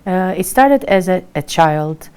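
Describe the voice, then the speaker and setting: Low-voiced woman from Turkey. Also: animated, measured pace, a little mellow, smooth. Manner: plain, hesitant answers. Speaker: guest, chef and table stylist. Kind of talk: studio interview. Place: TV studio.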